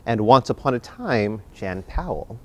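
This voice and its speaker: A man hosting a live theater discussion as moderator, speaking with theatrical, deliberate emphasis. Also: mid-range voice, very animated, a little mellow. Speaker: event host and moderator.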